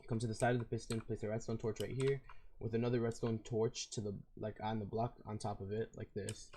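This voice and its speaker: A hoarse man with a raspy voice, walking through a game build in a casual, rambling way. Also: lowish voice, level tone, brisk, warm tone, a little hiss or hum. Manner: casual and rambling, with fillers and self-corrections. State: hoarse, losing his voice. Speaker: video game tutorial creator. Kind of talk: casual step-by-step game tutorial.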